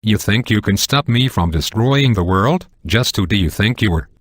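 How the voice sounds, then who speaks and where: An actor voicing a grandiose, menacing destroyer god in a video game, pompous and declamatory. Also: male voice, on the low side, expressive, normal speed, slightly rough, dry recording. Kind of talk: video game villain acting.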